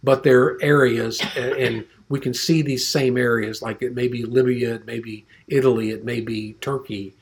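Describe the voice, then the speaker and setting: A man's voice: mid-range voice, steady tone, normal speed, few pauses. A man teaching an informal Bible class, rambling and conversational, with frequent restarts and fillers.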